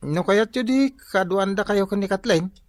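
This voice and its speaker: A woman's voice: mid-range voice, normal speed, no echo, natural voice. A woman with an Ilocano accent playing a polite young male student nephew who repairs appliances, in a radio drama. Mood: complaining.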